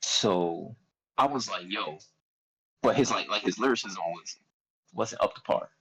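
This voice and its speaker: A man's voice: fairly low pitch, measured pace, crowd noise, poor audio. A young man with a Philadelphia accent telling a story in a casual chat, loose and slangy, often quoting himself. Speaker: guest artist.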